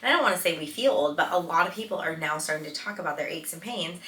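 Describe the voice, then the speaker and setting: A woman's voice: sits low, fast, bright, forceful tone. A woman in her late thirties hosting a solo podcast, talking informally and emphatically, repeating words for stress. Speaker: podcast host, physical therapist. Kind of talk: casual solo podcast talk. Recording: roomy echo, distant from mic.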